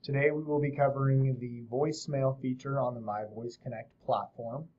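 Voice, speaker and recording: A man hosting a software tutorial, explaining things step by step in an informal, casual way. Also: medium pitch, fairly even intonation, normal speed, dull audio. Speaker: host of a software tutorial series. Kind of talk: casual screen-share tutorial narration.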